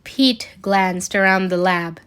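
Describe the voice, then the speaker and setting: Slow-paced woman reading a story. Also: mid-range voice, fairly bright tone, smooth. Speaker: amateur podfic reader. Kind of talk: scripted fan fiction narration read aloud.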